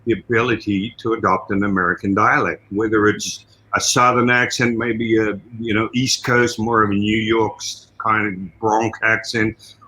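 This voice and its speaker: An older man with an Australian accent, a guest on a podcast interview, telling stories in an animated, expansive way. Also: lowish voice, normal speed, slight background noise, rough recording. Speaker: guest, martial arts trainer.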